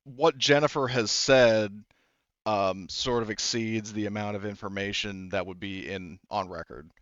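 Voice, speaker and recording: Low, smooth-voiced man running a game. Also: fairly even intonation, fairly bright tone, no room sound, highs cut off. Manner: rambling, explanatory, halting. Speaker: game master. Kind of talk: casual tabletop game narration.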